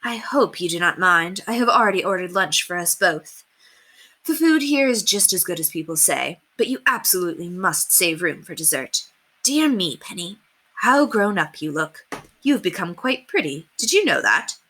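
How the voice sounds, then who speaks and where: Woman acting a gracious, expressive, motherly headmistress. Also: on the low side, normal speed, heavily acted. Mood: gracious, admiring. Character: kind, refined, motherly headmistress, affectionate but firm.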